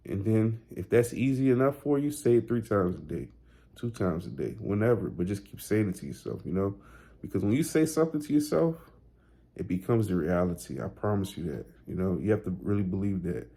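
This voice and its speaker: A man's voice: fairly low pitch, sing-song, brisk, gravelly, warm tone, slightly rough. A man in his thirties making a casual motivational vlog, talking in a loose, repetitive, slangy way with lots of "you know". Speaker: weight loss vlogger.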